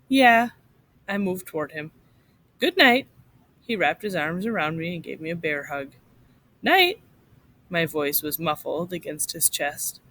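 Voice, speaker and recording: Expressive woman reading aloud, lively pitch. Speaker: teacher reading aloud to students. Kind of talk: read-aloud of a short story to children.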